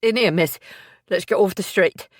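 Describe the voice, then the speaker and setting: A woman's voice: medium pitch, normal speed, no echo, studio quality, pitched above the performer's own voice, natural voice. A woman voicing an anxious, loyal young man, talking urgently and bluntly.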